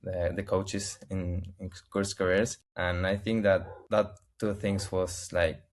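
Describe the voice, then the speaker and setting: Argentine guy, spanish is his first language, low voice, keeps restarting and hunting for english words. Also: level tone. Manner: halting, searching for English words with many restarts. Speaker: interview guest. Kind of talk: casual interview answers.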